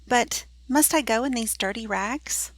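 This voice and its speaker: A woman's voice: a little high, a little slow, dry recording, lightly acted. A woman doing a meek young servant girl. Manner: timid and tearful. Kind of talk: storybook read-aloud.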